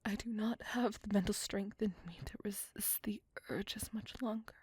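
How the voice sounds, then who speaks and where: A woman voicing a lovesick, possessive vampire lady in an ASMR roleplay, courtly and formal, in a breathy whisper. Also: mid-range voice, fairly even intonation, moderate pace, a little mellow, dry recording, close to an everyday voice. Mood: tormented, adoring. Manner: formal, old-fashioned, courtly wording. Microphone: close microphone.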